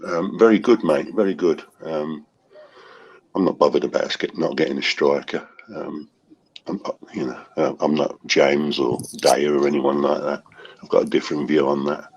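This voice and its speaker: A man's voice: very deep voice, steady tone, moderate pace, vocal fry, breathy edge, highs cut off. A man in a casual football chat, hesitant with many fillers, calling people mate. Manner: hesitant, lots of ums, calls people mate.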